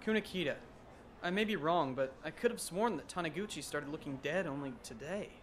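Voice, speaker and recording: Man acting sardonic teenage schoolboy, anime fandub narrator, dry disbelief, slightly raised pitch, faint background noise. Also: fairly quick, fairly bright tone, close to an everyday voice. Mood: puzzled. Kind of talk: anime fandub, inner monologue and dialogue.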